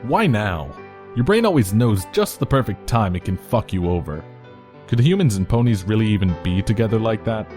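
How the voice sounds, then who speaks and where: Casting: male story narrator, low register, casual and expressive, light music underneath. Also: moderate pace. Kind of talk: second-person fan fiction narration.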